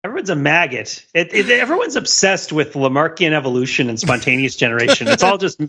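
Male American podcast co-host, forceful bright voice, a bit high, mock-earnest riffing. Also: sing-song, brisk, husky. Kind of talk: casual comedic banter. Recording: slightly dull, lo-fi microphone sound.

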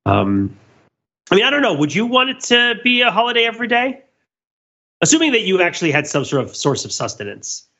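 A man's voice: sits high, huge pitch swings, a little fast, pushed and bright, dull audio. A man hosting a podcast, talking casually in a rambling, analytical way. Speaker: podcast host. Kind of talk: casual discussion of a children's TV special.

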